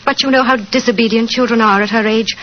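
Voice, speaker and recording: A woman's voice: fairly high pitch, steady tone, quick pace, natural voice. A muffled old radio drama recording with faint hiss features an anxious, genteel mother played by a woman. She speaks properly and earnestly, as a dutiful widow worried for her child. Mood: uneasy. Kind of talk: 1940s radio drama acting.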